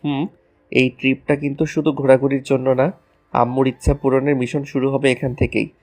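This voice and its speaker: A man's voice: medium pitch, level tone, brisk, darkish tone, close to an everyday voice. Man playing teasing young husband over music. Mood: tender. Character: playful, romantic young husband. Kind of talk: romantic audio story acting.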